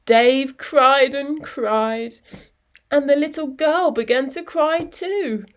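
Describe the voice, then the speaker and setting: A woman reading a children's story expressively, with measured phrasing and dramatic pauses. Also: high voice.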